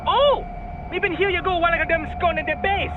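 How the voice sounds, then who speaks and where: Cheeky little caribbean boy, super high voice, bouncy slang, video game, muffled noisy audio. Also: quick pace. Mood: excited. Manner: excitable, slangy patois. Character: eager, cheeky young boy with street slang. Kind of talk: video game cutscene acting.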